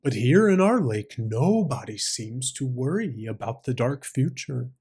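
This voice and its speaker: A man plays an old crane from a storybook fable, husky and very slow. The bird is a schemer who talks gravely and philosophically, coaxing with feigned sorrow. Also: mid-range voice, animated, darkish tone. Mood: persuasive.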